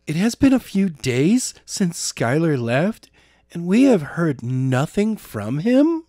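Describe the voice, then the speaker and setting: A man's voice: on the high side, big pitch swings, takes it slowly, warm tone, no echo, studio quality, voice pushed up. A man voicing a determined, competitive young woman training in martial arts, earnest and exclaiming, in a storybook reading.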